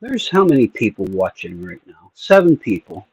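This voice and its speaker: A man's voice: fairly low pitch, big pitch swings, normal speed, darkish tone, slightly rough, dull audio. A man co-hosting a livestream, chatting casually, playful and jokey. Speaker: livestream co-host. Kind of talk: casual chat.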